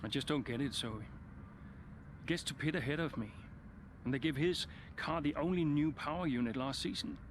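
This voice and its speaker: A man's voice: fairly high pitch, normal speed, close to an everyday voice. Man playing brooding ageing racing driver, noisy background. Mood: aggrieved. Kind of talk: naturalistic video game cutscene acting. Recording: clear background vehicle and ambience noise.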